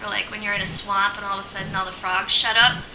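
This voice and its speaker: A young woman with a fairly high voice talking casually to camera, chatty and full of ums, likes and laughs. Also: little pitch movement, fast talker. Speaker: video blogger. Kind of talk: casual spoken reflection. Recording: lo-fi roomy home recording with hiss.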